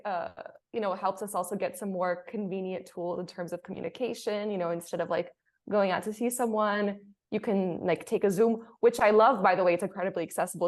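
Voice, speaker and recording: Woman reflecting aloud in a panel chat. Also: medium pitch. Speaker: panelist, theatre artist. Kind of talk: casual panel discussion.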